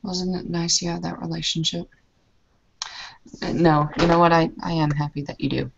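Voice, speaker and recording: Female roleplayer, low and creaky, terse and bitter delivery; muffled home recording. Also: steady tone, moderate pace, a little hiss or hum. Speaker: tabletop roleplayer. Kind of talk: in-character roleplay chat.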